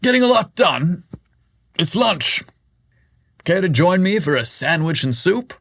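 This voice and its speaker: Narrator putting on a bright, eager boss, flirty and breezy, pitched higher than his own voice and dry. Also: very animated, a little slow, dry recording, exaggerated voice. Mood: upbeat.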